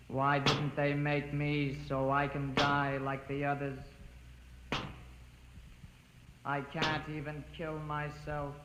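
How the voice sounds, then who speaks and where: An actor playing a lonely, emotionless synthetic being in a 1950s radio drama, speaking in a measured monotone. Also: male voice, fairly high pitch. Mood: despairing. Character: lonely atomic-powered synthetic being, emotionless, longing for death. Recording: noisy old radio recording.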